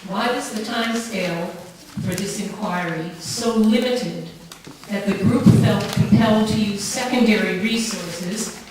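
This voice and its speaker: A woman's voice: mid-range voice, unhurried. A woman asking formal, pointed questions as a member of the public at a meeting in a large echoey room. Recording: echoey hall from far off, faint noise.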